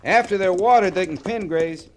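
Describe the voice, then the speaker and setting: A man's voice: high voice, moderate pace, close to an everyday voice. Man acting folksy cavalry sergeant, faintly noisy old radio. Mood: businesslike. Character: plain-spoken, respectful cavalry sergeant, seasoned trooper. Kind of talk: 1950s radio western acting. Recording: noisy vintage radio drama recording.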